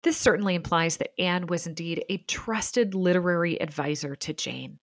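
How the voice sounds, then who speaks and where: A woman hosting and narrating a scripted documentary in a measured, articulate storytelling manner. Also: fairly low pitch, no room sound, clean studio sound. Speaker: podcast host and narrator.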